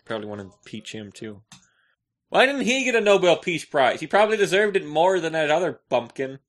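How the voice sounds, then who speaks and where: A man's voice: fairly high pitch, big pitch swings, a little fast, fairly bright tone. A man co-hosting a podcast, chatting casually and opinionatedly in a rambling, exclamatory way. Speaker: podcast co-host.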